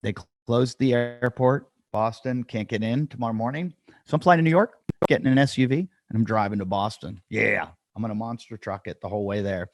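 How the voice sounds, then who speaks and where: A man's voice: medium pitch, brisk, dry recording. Dry-voiced man rambling a podcast intro. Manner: rambling, self-deprecating, with frequent fillers. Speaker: podcast host. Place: dry room at home.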